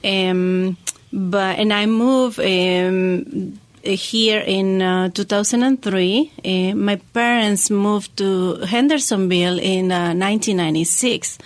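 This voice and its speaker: A woman guest with a Mexican accent tells personal stories. Her voice sits at a middle pitch. A native Spanish speaker, she phrases things conversationally and a little haltingly. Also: steady tone. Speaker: interview guest, magazine founder.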